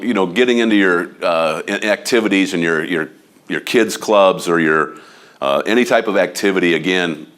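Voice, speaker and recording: A man with a Midwestern American accent giving a casual training talk to real estate agents, loud, blunt and a bit overbearing. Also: low voice. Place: office meeting room.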